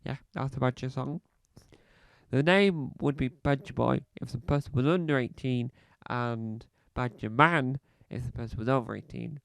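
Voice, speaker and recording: A man playing a self-important male celebrity podcaster, rambling and halting with a slight cough, speaking close to a dry mic. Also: mid-range voice, gravelly, dry recording, close to an everyday voice. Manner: rambling, halting, fond of repeating phrases. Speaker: comedy podcast host character. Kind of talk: scripted comedy monologue.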